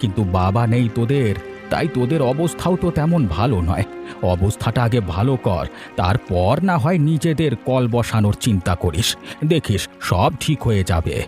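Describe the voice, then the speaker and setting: A man's voice: medium pitch, big pitch swings, normal speed, music in the background, natural voice. A man voicing a sympathetic, avuncular village uncle in a cartoon story.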